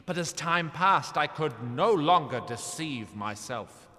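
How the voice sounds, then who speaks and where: An actor playing a grave lord treasurer who makes accusations in formal, measured courtly speech in a fantasy audio drama. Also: male voice, fairly high pitch, expressive, sharp tone. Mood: defiant accusation. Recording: echoing hall with long reverb.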